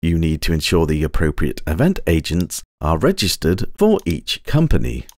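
Deep-voiced man narrating a software tutorial. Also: huge pitch swings, no echo, studio quality. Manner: measured, precise step-by-step instruction. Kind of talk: scripted instructional narration. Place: close, dry voice-over recording.

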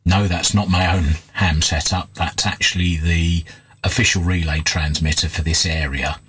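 A middle-aged man with a low, rough-edged voice gives a casual demonstration. He explains things in an unhurried, hands-on way, with a husky grain to his speech. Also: fairly even intonation, fairly bright tone. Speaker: vlogger restoring vintage radios.

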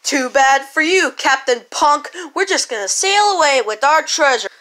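A woman's voice: high voice, lively intonation, moderate pace, a bit bright. A woman voicing a bossy, taunting, scheming old pirate king in a homemade puppet play. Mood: gloating.

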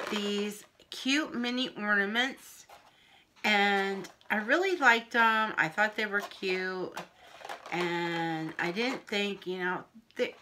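A middle-aged woman with a Pennsylvania accent talks slowly to the camera in her home kitchen, showing off bargain finds. Her voice is bright, a little wheezy, and broken by throat-clearing. A faint hiss sits under the recording. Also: medium pitch. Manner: chatty, unhurried, friendly show-and-tell. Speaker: craft haul vlogger. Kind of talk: casual haul video. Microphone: camera in front at arm's length.

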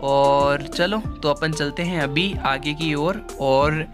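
A young man with a fairly high voice walks through town, chatting to his viewers in a loose, repetitive vlog style. He holds his phone camera at arm's length outdoors, and music plays clearly under his voice. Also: slow. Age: young adult. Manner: chatty, repeats "guys" and "chalo" often. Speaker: travel vlogger. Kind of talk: casual walking vlog narration. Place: outdoors on city streets and a market. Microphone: handheld phone camera at arm's length.

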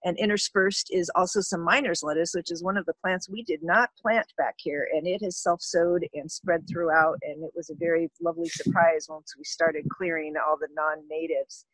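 A woman's voice: lowish voice, steady tone, normal speed, hardly any pauses, fairly bright tone, dry recording, rough recording. A woman giving a casual tour of her backyard garden, explaining plants in a friendly, explanatory way.

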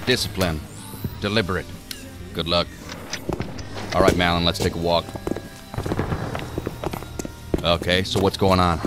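An actor playing a bitter, sardonic federal agent in an audio drama, gruff and sarcastic. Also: male voice, low-pitched, flat delivery, normal speed, natural voice. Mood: brisk, businesslike. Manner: gruff, sarcastic, clipped orders. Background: music.

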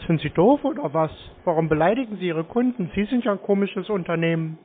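A man with a high voice talks over a narrow phone line with faint noise. He plays a naive, contrary customer, answering with simple questions and objections. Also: animated, brisk. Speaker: prank caller stringing along phone scammers. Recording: noisy, tinny phone line.

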